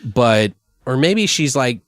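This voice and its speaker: A man hosting a podcast, chatting casually and brainstorming in a rambling, animated storytelling way with plenty of swearing. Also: medium pitch, slow pace, dry recording, clean studio sound. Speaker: podcast host. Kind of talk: casual improvised brainstorming chat.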